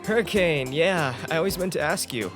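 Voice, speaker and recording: A man's voice: fairly high pitch, expressive, moderate pace, natural voice. Eager, awkward college guy, acted by a man. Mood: awkward, curious. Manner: chatty, awkward, joking. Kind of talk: comedic-dramatic comic dub acting. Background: music.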